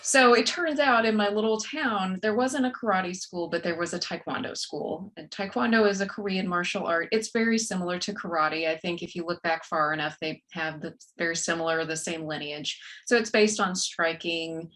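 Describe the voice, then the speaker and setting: A woman's voice: on the low side, normal speed, hardly any pauses, fairly bright tone. A woman in her forties with a Texan accent, a guest author chatting in a friendly, matter-of-fact way. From West Texas, USA. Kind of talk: casual interview chat.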